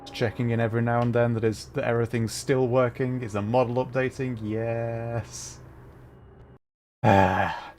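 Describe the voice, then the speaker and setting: A man's voice: mid-range voice, little pitch movement, normal speed, a little mellow, a little hiss or hum. A man casually commentating live gameplay for his chat, thinking aloud and interrupting himself as he goes. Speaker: livestreamer, host.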